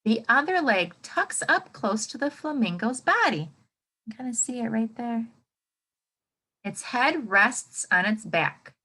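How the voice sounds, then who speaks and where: A woman with a high, bright voice gives an interactive read-aloud lesson for children. She takes her time, swinging expressively up and down as she thinks aloud and asks the listeners questions. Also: expressive, a little slow, breathy edge, low-quality recording. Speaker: teacher reading aloud to children.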